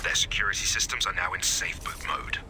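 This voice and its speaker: An actor playing a grave, weary resistance leader in a video game, speaking in a solemn, urgent, measured way with a breathy voice. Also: male voice, deep voice, sharp tone, a little hiss or hum. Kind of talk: video game mission dialogue.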